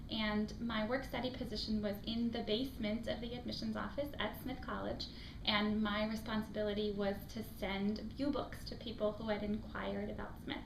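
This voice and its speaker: A woman's voice: medium pitch, little pitch movement, moderate pace, vocal fry. A woman from Afghanistan, raised in the United States, with an American accent, who works as a school admissions director and answers interview questions in an earnest, reflective way with frequent ums. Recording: echoey room with background noise.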